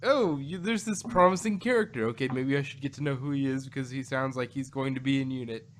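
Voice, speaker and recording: A man's voice: medium pitch, fast. Man, mid-range voice, informal co-host banter, joking and meandering, faintly noisy home recording. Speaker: podcast co-host reviewing audio dramas. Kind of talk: casual unscripted chat.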